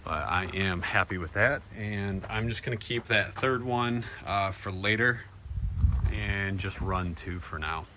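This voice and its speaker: Casting: American male DIY vlogger, lowish voice, laid-back and plain-spoken, recorded on the go with clear vehicle and ambient noise. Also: measured pace. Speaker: vlogger showing DIY van upgrades. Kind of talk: casual DIY walkthrough to camera.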